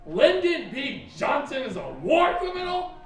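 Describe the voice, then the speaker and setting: A man's voice: very high-pitched, sing-song, a little slow, raised voice, exaggerated voice. An actor playing an indignant, conservative American father who rants in a declamatory way on stage. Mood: outraged. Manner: ranting, declamatory. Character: gruff, indignant conservative middle-aged American father and husband. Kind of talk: stage acting in a one-act play. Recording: echoey stage recording, distant mic.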